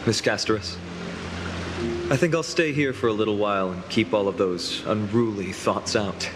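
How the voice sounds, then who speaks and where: An actor playing an earnest young male hero in a game, sincere and reflective, with quiet self-doubt. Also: fairly low pitch, moderate pace, noisy background, lightly acted. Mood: wistful. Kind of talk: dramatic video game dialogue.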